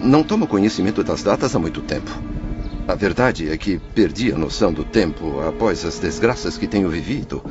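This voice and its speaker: A man's voice: low-pitched, animated, fairly quick. A man playing the distraught, indignant spirit of a dead merchant father in an audiobook drama, pleading and melodramatic. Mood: stunned, despairing. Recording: dull lo-fi audio under loud music.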